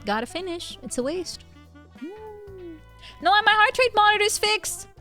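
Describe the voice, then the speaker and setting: Lively, tipsy young woman streamer. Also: very high voice, unhurried. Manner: animated, laughs often, thinks aloud with fillers. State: tipsy from wine. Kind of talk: casual chat with viewers. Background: faint background music.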